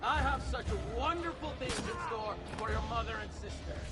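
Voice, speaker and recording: A man's voice: extremely high pitch, animated, moderate pace, loud music behind the voice. An actor playing an arrogant young nobleman villain in a video game, taunting. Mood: sneering. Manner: taunting, sneering.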